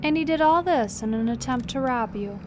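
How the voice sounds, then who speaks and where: A woman's voice: sits high, animated, moderate pace, a little hiss or hum. A woman playing a tough, sardonic police captain who questions relentlessly in an audio drama. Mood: probing. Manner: sarcastic, probing, unflinching. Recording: music behind the voice.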